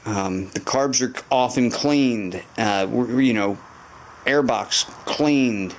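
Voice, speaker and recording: A man's voice: mid-range voice, slow pace, slight background noise. A man in his garage talking through a motorcycle repair to camera, thinking aloud with lots of fillers and restarts. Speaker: motorcycle restoration vlogger. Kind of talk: casual garage walkthrough to camera. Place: home garage.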